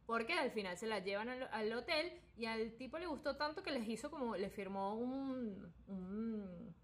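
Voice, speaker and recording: A young Venezuelan woman chatting casually as a podcast host, telling anecdotes in an animated, slangy, joking way. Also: on the high side, normal speed.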